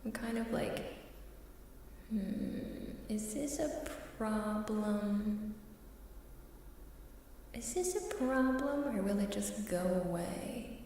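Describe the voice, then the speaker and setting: Slow, echo-drenched ASMR woman streamer. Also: medium pitch, recorded from a distance. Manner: soft-spoken, often dropping into a whisper. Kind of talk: ASMR casual chat to stream viewers. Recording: heavily echoing reverberant room sound, faint noise.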